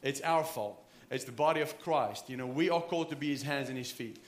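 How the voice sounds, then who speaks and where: A man's voice: mid-range voice, fairly quick. South African man preaching in an echoey church. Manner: earnest, conversational, frequent restarts. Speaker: pastor. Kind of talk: sermon. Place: church sanctuary.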